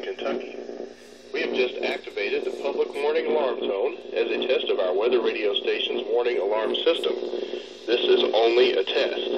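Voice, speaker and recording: A man reading a scripted public warning test announcement over weather radio in a formal, measured manner. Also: mid-range voice, creaky. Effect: weather radio broadcast. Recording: muffled, very noisy weather radio reception.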